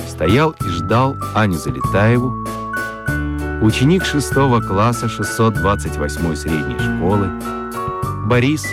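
A man narrating a children's radio play as an expressive storyteller, with deliberate dramatic pauses. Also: lowish voice. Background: prominent music.

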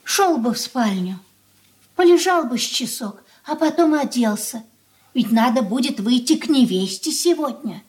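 An actress playing an elderly merchant's wife in a Soviet radio play, caring and fussing, with homely colloquial speech. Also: female voice, fairly high pitch, expressive, normal speed, lightly acted. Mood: gentle coaxing.

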